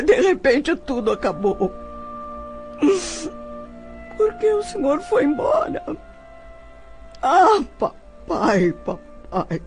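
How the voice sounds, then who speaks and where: An actress playing an ailing, grieving older woman in an audio drama, halting and tearful as she pines for her father. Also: sits high, a little mellow, full character voice. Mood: anguished. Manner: halting, tearful, sighing delivery. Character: sick, grieving elderly daughter calling for her dead father.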